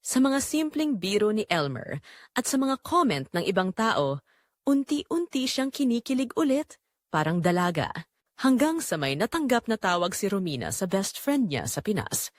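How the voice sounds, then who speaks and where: A Filipino woman narrates a scripted drama story. Her mid-pitched voice moves up and down in an animated way, and the sound is dry and close, with little room around it. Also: normal speed, dry recording.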